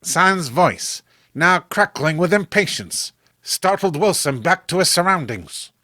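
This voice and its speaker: A man's voice: on the high side, lively intonation, measured pace, pushed and bright, no echo, studio quality. A man narrating horror comic stories in a dramatic, scripted storytelling style.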